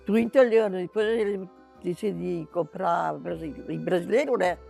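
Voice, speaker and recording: A man's voice: sits high, moderate pace, vocal fry, a little mellow, faint music behind. An older man, chatting about school memories. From southern Brazil. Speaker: interviewee.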